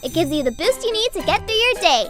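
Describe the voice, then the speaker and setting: A woman's voice: very high-pitched, sing-song, quick pace, over music. A woman playing a perky, upbeat young herbalist girl in a fan audio drama, earnest and encouraging. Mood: cheerful, encouraging.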